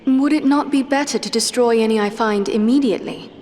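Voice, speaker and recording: A woman's voice: fairly high pitch, a little fast, a little mellow, slight background noise, natural voice. A woman playing an earnest, dignified elf adventurer in a fantasy game, speaking in a formal, composed way. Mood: resolute, courteous. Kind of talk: fantasy game dialogue acting.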